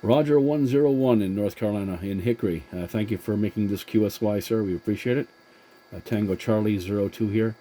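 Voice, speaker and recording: Male CB hobbyist, deep-leaning mellow voice, polite and halting, casual two-way radio chat, slight background noise. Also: low-quality recording. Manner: courteous, halting with many hesitations. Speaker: CB radio operator and channel host.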